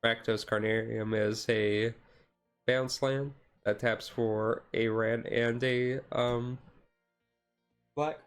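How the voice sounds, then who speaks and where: A man streamer giving a casual, chill card-deck walkthrough, halting and self-correcting, his voice a little tired. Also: mid-range voice, level tone, clean tone, soft background music. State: voice tiring. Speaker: streamer, card game hobbyist.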